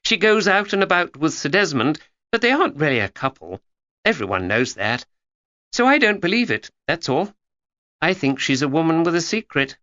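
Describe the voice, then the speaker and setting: Guy doing a cheeky know-it-all schoolgirl, bright voice bouncing all over. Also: on the high side, sing-song, normal speed, no echo, muffled recording, pitched above the performer's own voice. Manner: chatty, lecturing and cheeky. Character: bossy know-it-all schoolgirl, chattering, gossipy. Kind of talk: audiobook character voice.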